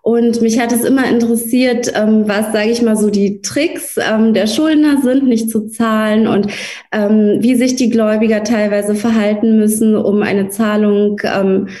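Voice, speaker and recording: A woman's voice: medium pitch, fairly even intonation, a little slow, mellow. A woman lawyer whose first language is Russian, answering interview questions thoughtfully with frequent hesitations. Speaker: lawyer, guest.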